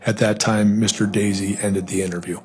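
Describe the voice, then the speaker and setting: A man's voice: fairly low pitch, little pitch movement, a little fast. A man giving formal, matter-of-fact testimony as an investigator.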